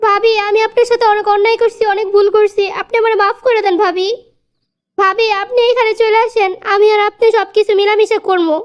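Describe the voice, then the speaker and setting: Stubborn young cartoon wife played by a woman, extremely high childlike pitch, fast complaining delivery, Bangladeshi Bengali accent. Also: flat delivery. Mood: tearful, apologetic. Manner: complaining, repeats words. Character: quarrelsome, stubborn young wife and sister-in-law. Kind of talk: cartoon family comedy drama.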